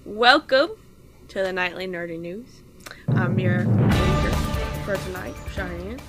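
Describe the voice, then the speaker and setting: A woman's voice: lowish voice, takes it slowly. Casual woman podcaster, music underneath. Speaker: podcast co-host reading news segment. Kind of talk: casual, ad-libbed news recap.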